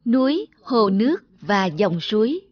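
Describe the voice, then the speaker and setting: A woman's voice: medium pitch, sing-song, a little slow, dull audio. A woman reading scripted narration for a children's folk tale.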